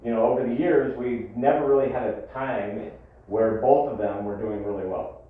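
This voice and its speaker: A man presenting finances informally at a board meeting, explaining in a measured way with pauses. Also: medium pitch. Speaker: health district staff presenting finances. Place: meeting room, distant microphone. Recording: tinny echoey room from far off, faint noise.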